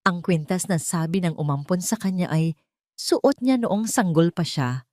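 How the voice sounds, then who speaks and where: Female drama narrator, lowish voice, swooping intonation, dry close sound. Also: lively intonation, normal speed, a little mellow, no echo. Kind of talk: dramatic scripted story narration.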